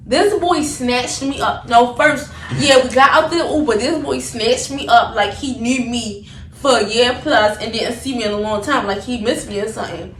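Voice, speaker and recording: A young woman chatting casually as she tells a story, animated, slangy and repetitive in her retelling. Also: a little high, normal speed. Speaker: vlogger telling a story. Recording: roomy, distant mic, noticeable background noise.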